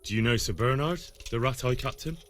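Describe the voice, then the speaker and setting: An actor playing an earnest, inquisitive and slightly cheeky young commoner in a medieval video game. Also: male voice, medium pitch, a little fast, a bit bright, a little hiss or hum, natural voice. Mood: probing. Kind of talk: video game dialogue acting.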